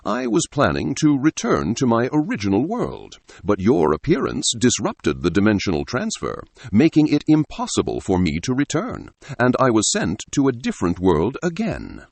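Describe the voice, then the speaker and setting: A calm, gentlemanly android condor from a science fiction audiobook, acted by a man, speaks with formal courtesy and a measured manner. The voice is gravelly and husky, with pitch that moves widely. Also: no echo, heavily acted. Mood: calm, earnest.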